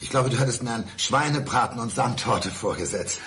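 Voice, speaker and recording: An actor in a radio drama playing a lonely, blind middle-aged man, deliberate and polite yet needling. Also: medium pitch, expressive, vocal fry, fairly bright tone, a little hiss or hum. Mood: reproachful. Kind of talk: 1990s German radio drama acting.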